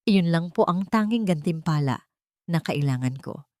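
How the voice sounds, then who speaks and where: A woman's voice: fairly low pitch, lively intonation, moderate pace, a little mellow, no echo, studio quality, close to an everyday voice. A woman voicing a loyal, humble female housemaid who speaks deferentially in an audio drama. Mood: humble, polite. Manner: respectful, deferential.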